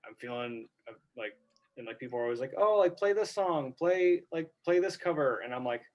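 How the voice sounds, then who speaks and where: A man's voice: medium pitch, animated, brisk, highs cut off. A man, a musician, chatting casually about his songs, rambling with lots of fillers. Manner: rambling, full of "like" and "you know". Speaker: musician guest. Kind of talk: casual interview chat.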